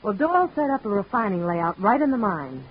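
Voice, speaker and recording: A woman's voice: mid-range voice, breathy edge. A plucky, no-nonsense young woman. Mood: earnest. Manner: brisk, no-nonsense, lively. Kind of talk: 1940s radio acting. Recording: muffled old radio recording with faint hiss.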